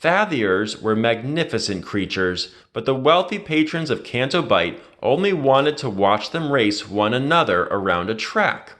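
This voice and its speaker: A man reading a children's adventure story aloud in an expressive, dramatic storytelling manner. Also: medium pitch, a little slow, few pauses. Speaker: read-aloud narrator.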